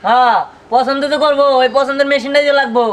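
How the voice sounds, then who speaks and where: A man's voice: extremely high pitch, fairly even intonation, quick pace, a bit bright, a little hiss or hum. A man playing a petulant, lovesick village son who melodramatically threatens suicide in a comedy drama. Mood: petulant, sulking. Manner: petulant, complaining.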